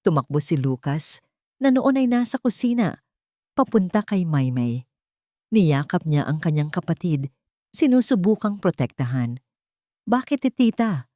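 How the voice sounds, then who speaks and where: Female storyteller, lower register, dry close sound, dramatic scripted narration. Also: big pitch swings, unhurried, long pauses, mellow, dry recording.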